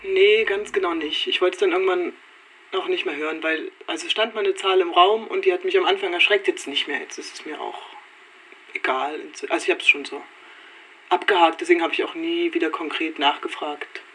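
A woman's voice: fairly low pitch, fairly even intonation, a little fast, fairly bright tone, slight background noise. A woman talking casually and reflectively, thinking aloud in loose, self-correcting sentences.

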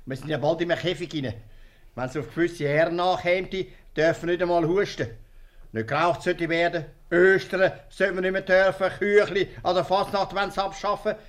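A stern, grumbling innkeeper played by a man in a 1950s radio comedy. He is curt and bossy, with a slightly high voice and a Swiss accent. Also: close to an everyday voice.

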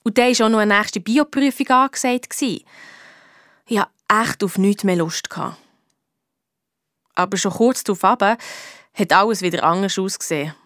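A woman's voice: mid-range voice, normal speed, pauses often, a bit bright, no room sound, clean studio sound, close to an everyday voice. A young woman with a Swiss German accent playing a feisty schoolgirl detective narrating her case in a radio play. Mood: fed-up, eager. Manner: indignant, colloquial.

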